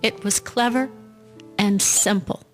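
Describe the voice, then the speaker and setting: A woman's voice: medium pitch, fairly even intonation, slow, faint music behind, close to an everyday voice. Desperate, grieving mother, acted by a woman. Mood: cold confession. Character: grief-stricken, desperate mother in 1912 rural Canada. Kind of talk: scripted radio-drama acting.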